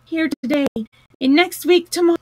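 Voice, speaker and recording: A woman's voice: on the high side, measured pace, no room sound. A woman playing a vain, dreamy male storybook toad who speaks in a rapturous, grandiose, exclamatory manner. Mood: blissful, entranced.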